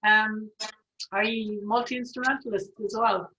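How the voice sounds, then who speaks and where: A woman's voice: fairly high pitch, steady tone, a little slow, bright, forceful tone, soft background music. A woman interviewing a band over a video call, effusive and generous with praise. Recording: dull lo-fi video call audio.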